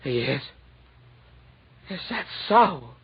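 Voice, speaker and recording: Excitable, high-pitched store manager. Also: male voice, very slow, creaky, slightly rough, exaggerated voice. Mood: eager, businesslike. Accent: American. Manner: flustered, effusive, stammering. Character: excitable, lovestruck, good-natured male department store manager. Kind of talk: 1940s radio acting. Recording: dull, lo-fi 1940s radio recording.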